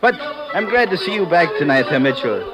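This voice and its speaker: A greedy, effusive innkeeper in an old radio thriller. His voice is fairly high, and loud music plays behind him on the vintage broadcast. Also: big pitch swings, a little fast. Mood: jovial. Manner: effusive, formal phrasing. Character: crafty, jovial, greedy male European innkeeper go-between. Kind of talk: 1950s radio acting.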